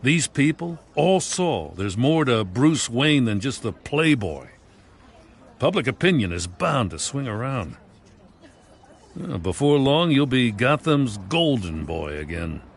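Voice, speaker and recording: An actor playing a plainspoken, hopeful middle-aged police commissioner in a video game, speaking earnestly. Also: male voice, medium pitch, very animated, slow pace, breathy edge, full character voice. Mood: friendly, frank.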